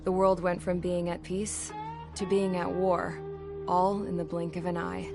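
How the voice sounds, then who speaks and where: Wry, low-voiced female pilot, music behind. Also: little pitch movement, a little slow, creaky. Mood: wry, bitter. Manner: wry, blunt, slangy. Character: jaded young female pilot telling her war story. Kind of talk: scripted first-person game narration. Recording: loud music under the voice.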